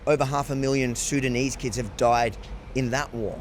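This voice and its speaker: A man's voice: mid-range voice, steady tone, normal speed, a little hiss or hum. A man reporting on the street, firing pointed, challenging questions. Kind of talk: confrontational street interview.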